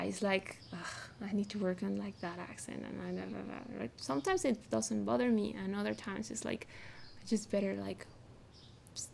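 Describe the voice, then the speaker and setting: A woman from Mexico speaks English with a Mexican accent, Spanish being her first language. Her voice carries a gravelly, fry-edged texture. She talks in a reflective, searching way, as a guest in a relaxed interview. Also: mid-range voice, a little hiss or hum. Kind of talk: casual interview conversation.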